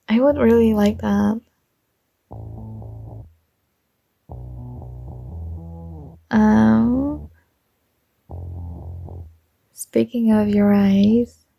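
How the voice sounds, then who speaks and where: A young woman playing a shy, smitten student in an intimate ASMR roleplay, speaking softly, hesitantly and tenderly, with some breathiness. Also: medium pitch, creaky, husky, full character voice. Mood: shy, affectionate.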